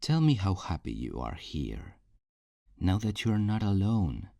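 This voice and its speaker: A man's voice: sits low, big pitch swings, gravelly, dark tone, no room sound, studio quality, exaggerated voice. A man playing a possessive, falsely tender kidnapper in an intimate ASMR roleplay, coaxing and condescending with veiled menace. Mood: pleased, coaxing. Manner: slow, coaxing, condescending endearments with veiled threats.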